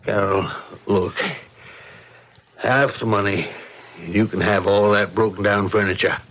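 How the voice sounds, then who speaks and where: A man's voice: fairly low pitch, fairly even intonation, measured pace, a bit bright. An American actor playing a curt, greedy, surly middle-aged father in a radio mystery drama. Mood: pressing, irritated. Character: gruff, greedy, impatient widowed father, a murder suspect. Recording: muffled old radio drama recording.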